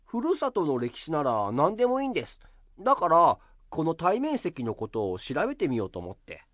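A man's voice: a little high, huge pitch swings, moderate pace, fairly bright tone, no echo, full character voice. A man playing an earnest, modest schoolboy who loves history, explaining things eagerly in an amateur radio drama. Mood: polite.

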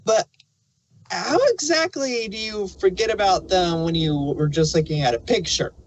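Casual review-show panelist with a medium-pitched voice, musing and thinking aloud. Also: normal speed, rough recording. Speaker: podcast reviewer. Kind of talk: casual chat reviewing an audio drama.

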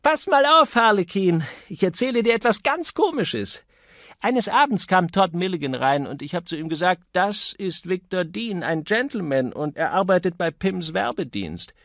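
A man's voice: sits high, animated, normal speed, a bit bright, no room sound, clean studio sound. A man voicing a flighty, spoiled young woman who chatters in a gushing, insistent way, as an audiobook character. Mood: gushing, excited. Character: flighty, spoiled young party woman, petulant and craving thrills.

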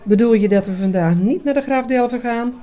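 A woman's voice: on the high side, fairly quick, mellow, lightly acted. A woman playing a priest's curious, familiar companion in audio drama dialogue, speaking casually.

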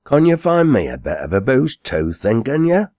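A man's voice: mid-range voice, wildly swooping pitch, normal speed, a little mellow, no echo, studio quality, pitched above the performer's own voice. A man voicing a quarryman in a storybook, dreamy and sociable, plain-spoken, with a Northern English accent. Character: musing, sociable quarryman fond of his drink.

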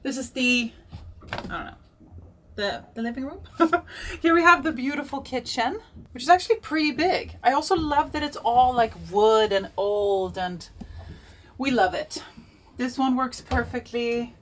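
A woman's voice: high voice, unhurried. A woman, chatting casually to camera as a vlogger in a small boat cabin, rambling and correcting herself as she goes. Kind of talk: casual vlog chat to camera.

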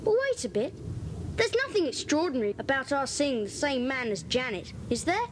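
A woman playing an eager, excitable schoolboy detective in a children's audio drama. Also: high-pitched, moderate pace. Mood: excited. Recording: noisy old cassette transfer.

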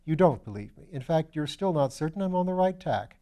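Storybook lead: man as a flippant aristocratic sleuth, shrewd, breezy, lifted pitch. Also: animated, a little fast, warm tone, natural voice. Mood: urgent, assured. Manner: breezy, self-deprecating, assured.

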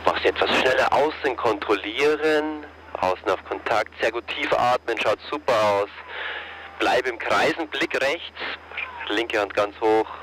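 A man instructing a paraglider pilot over a radio, giving calm, encouraging step-by-step commands. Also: medium pitch, a little slow, a little hiss or hum, low-quality recording. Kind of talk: radio coaching of a pilot in flight.

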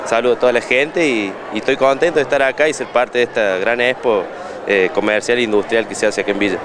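A man's voice: medium pitch, fast, a crowd in the background. A man in his thirties with an Argentine accent, a gym owner interviewed at his fair stand, repeating words and restarting often as he talks into a handheld mic. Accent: Argentine Spanish. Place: indoor expo hall stand. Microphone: handheld reporter mic.

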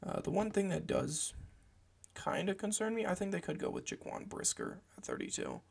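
A young man with a gravelly voice, hoarse from a cold, giving casual football draft commentary from a small room at home, rambling with lots of fillers. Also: sits high, wildly swooping pitch, moderate pace. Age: young adult. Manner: meandering, filler-heavy, self-correcting.

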